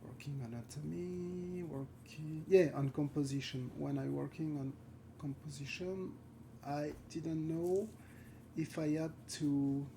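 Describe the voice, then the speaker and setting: Slow, gravelly French-accented man streaming. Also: fairly high pitch, fairly even intonation, warm tone, slight background noise. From France. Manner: halting, searching for words, many fillers; thinking aloud while painting. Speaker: concept art streamer. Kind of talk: live art stream chat.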